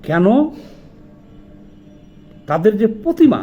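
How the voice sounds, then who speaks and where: High-pitched, animated Bangladeshi man arguing. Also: takes it slowly, dull audio, faint music behind. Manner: indignant, argumentative, colloquial. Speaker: social commentator. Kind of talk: informal video commentary, rhetorical questioning.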